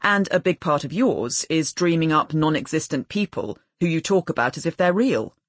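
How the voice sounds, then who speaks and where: Exasperated brother played by a female narrator, argumentative and blunt, husky voice, animated audiobook dialogue. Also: sits low, moderate pace, no echo, clean studio sound.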